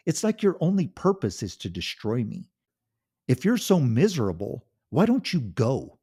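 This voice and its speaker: A wounded husband at the end of his rope yells and pleads in a raised, indignant voice, as a man acts him out. The voice is husky and mellow beneath the strain. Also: mid-range voice, wildly swooping pitch, normal speed, pitched above the performer's own voice, full character voice. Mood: angry shouting. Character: desperate, hurt husband finally fighting back.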